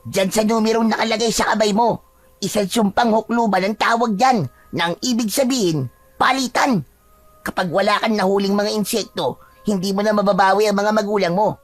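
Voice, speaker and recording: A woman's voice: mid-range voice, a little fast, no room sound, natural voice. A woman voicing an earnest, secretive young boy in a dramatized story. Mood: alarmed, warning. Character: young boy, secretive, earnest friend who warns of curses.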